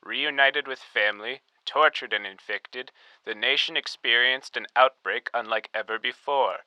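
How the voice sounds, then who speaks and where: A man acting as a 1938 radio announcer, very bright and forceful, with crisp diction. His narration is formal, theatrical and grave, recorded close and dry. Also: medium pitch, moderate pace, dry recording, rough recording. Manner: crisp, formal, dramatic delivery.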